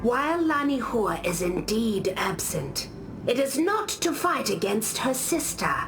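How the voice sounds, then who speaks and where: A solemn, devout warrior woman in a video game. Her voice sits a little high and moves expressively as she tells lore slowly and gravely. Music is clearly heard behind her. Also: big pitch swings, low-quality recording. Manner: grave, deliberate storytelling. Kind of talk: scripted game character monologue.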